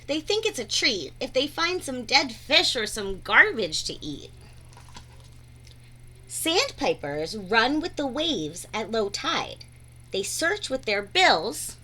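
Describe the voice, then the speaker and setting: Bright-voiced woman reading to children, very animated. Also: a little high. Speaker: read-aloud storyteller. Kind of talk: children's picture book read-aloud.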